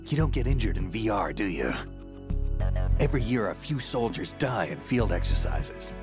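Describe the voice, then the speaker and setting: A man playing a gruff, cynical veteran soldier in a video game, with a gravelly voice. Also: lowish voice, very animated, moderate pace. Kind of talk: video game cutscene acting. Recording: music behind the voice.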